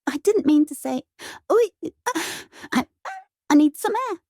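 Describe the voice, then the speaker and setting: A woman playing a distraught, apologetic young female in an animated drama, stammering and gasping as if overwhelmed. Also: high voice, unhurried, gravelly, noisy background, exaggerated voice.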